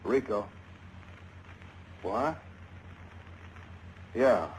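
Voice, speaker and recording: An actor playing a harried, world-weary American police lieutenant, blunt and slangy, in a 1950s radio drama. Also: male voice, medium pitch, animated, extremely slow. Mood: businesslike. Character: harried, gruff male big-city police detective lieutenant. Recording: noisy vintage radio recording.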